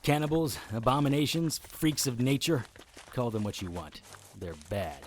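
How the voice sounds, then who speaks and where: A man's voice: mid-range voice, very animated, moderate pace, a little hiss or hum, lightly acted. An actor playing a tough, wisecracking monster hunter, narrating his own story in a dry noir style for an audio drama. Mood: wry. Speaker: lead actor narrating in first person. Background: music bed under the voice.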